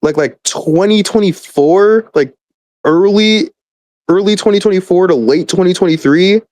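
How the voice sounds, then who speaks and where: A man's voice: sits high, a little slow, darkish tone, no echo. A young man in a casual, rambling rant, swearing freely, exasperated and exaggerating, often stammering as he restarts his words. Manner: profane, exasperated, hyperbolic; stammering repeated starts. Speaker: gamer.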